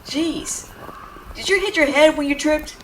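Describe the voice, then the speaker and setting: A woman's voice: high-pitched, unhurried, a bit bright, distant mic, a little hiss or hum, poor audio. A woman playing a brash but caring tsundere young woman in an intimate audio roleplay, scolding with tender worry underneath. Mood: sarcastic, exasperated. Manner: brusque, scolding, exasperated, with tender worried undertones. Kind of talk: intimate dramatic audio roleplay addressed to the listener.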